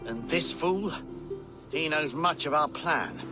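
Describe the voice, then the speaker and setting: An actor playing a stern, indignant Saxon abbot in a video game, formal and authoritative. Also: male voice, on the high side, lively intonation, takes it slowly, music in the background. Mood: dry, disapproving.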